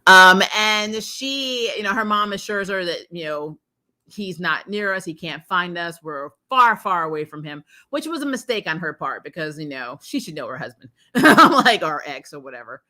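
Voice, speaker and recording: A woman hosting a casual, unscripted review, retelling scenes in slangy, animated talk and acting out reactions. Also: lowish voice, normal speed. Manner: animated, exclaiming, acts out reactions, laughs often; informal slangy talk with frequent "like" and "you know". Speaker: host of a TV episode review show.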